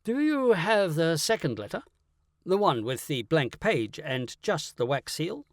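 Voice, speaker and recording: Guy doing a brilliant gentleman detective, excitable and commanding, voice jumps up and down, audiobook style. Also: a little high, unhurried, no room sound, clean studio sound. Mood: brisk. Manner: commanding, theatrical, precise. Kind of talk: audiobook character acting.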